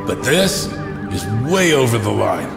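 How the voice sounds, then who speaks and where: A man playing a gruff, indignant police commissioner in an audio drama, blunt, exasperated and scornful. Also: lowish voice, big pitch swings, unhurried, heavily acted. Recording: echoey hall reverb, music behind.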